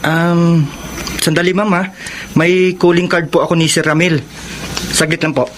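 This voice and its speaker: A man's voice: a little high, steady tone, normal speed, slight background noise, close to an everyday voice. An actor playing a polite, helpful male construction foreman in a Filipino radio drama.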